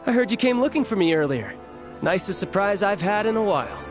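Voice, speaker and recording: A man's voice: high voice, expressive, a little fast, faint music behind. An actor playing a confident young military officer in a video game, easygoing and candid, earnest about his loyalties. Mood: friendly, casual.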